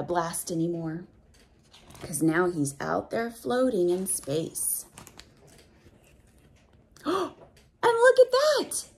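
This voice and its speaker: A woman reading a picture book aloud to children, expressive and exclamatory, pausing to explain things simply and put questions to young listeners. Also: lowish voice, slight background noise. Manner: expressive, exclamatory, with audible gasps of wonder.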